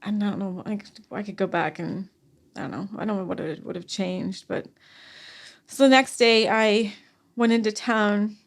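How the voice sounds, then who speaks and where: Soft, halting woman with frequent sighs. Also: mid-range voice. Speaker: podcast guest. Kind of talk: personal storytelling in conversation.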